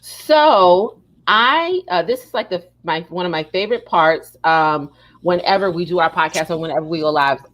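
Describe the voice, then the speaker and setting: A woman hosting a casual live podcast, chatting and reading aloud in an expressive, emphatic way. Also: on the low side, normal speed. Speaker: podcast host.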